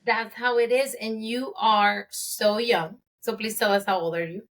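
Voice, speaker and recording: A woman's voice: medium pitch, fairly even intonation, a little slow. A woman hosting a casual podcast, asking direct questions in a chatty, candid way. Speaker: podcast host.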